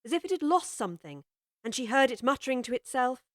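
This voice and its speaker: A woman narrating a classic children's story in a measured, gently expressive way. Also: high-pitched, no room sound, clean studio sound.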